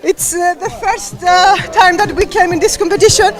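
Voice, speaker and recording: A woman's voice: very high-pitched, normal speed. A woman from Cyprus, a native Greek speaker with a Cypriot accent, giggly and close to happy tears in an outdoor interview. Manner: giggly, excited. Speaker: athlete being interviewed. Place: outdoors at a medal presentation. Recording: outdoor interview with crowd and music.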